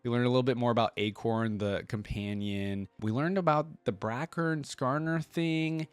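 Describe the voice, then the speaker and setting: A man hosting a reaction video, reading aloud and commenting casually in a chatty, expressive way. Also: medium pitch, moderate pace, clear, resonant tone, dry recording.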